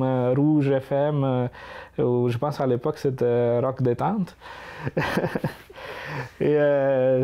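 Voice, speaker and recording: A man's voice: medium pitch, mellow, smooth. A man in his thirties from Italy with an Italian accent, speaking hesitantly with frequent fillers in an interview. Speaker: immigrant interviewee. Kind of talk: reflective interview talk.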